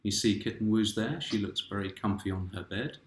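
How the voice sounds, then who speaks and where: A man's voice: fairly low pitch, fairly quick, slight background noise. A man reading his own children's picture book aloud, stopping to ask the listening child questions. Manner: gentle, asks the child and viewers questions. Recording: roomy home room echo.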